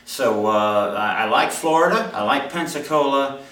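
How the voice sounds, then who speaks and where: A man's voice: mid-range voice, animated, moderate pace, pushed and bright. Echoey man with swooping delivery. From Mobile, Alabama, USA. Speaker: writer reading his own short story. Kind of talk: live story reading to an audience, expressive. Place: indoor room at a live reading event. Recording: roomy, echoey hall, distant microphone.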